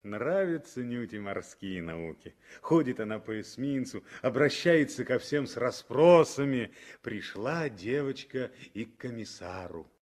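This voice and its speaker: A man narrating a 1960s radio drama as an expressive storyteller from a script. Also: medium pitch, measured pace, few pauses.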